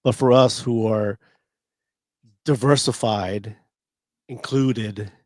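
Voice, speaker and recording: A man co-hosting a casual podcast discussion, talking in an animated way. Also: on the low side, slow pace, no echo. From Filipino family, English first language. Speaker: podcast co-host.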